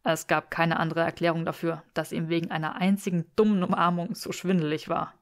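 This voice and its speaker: A woman's voice: on the low side, level tone, brisk. Woman narrating an audiobook expressively. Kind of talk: expressive scripted story narration.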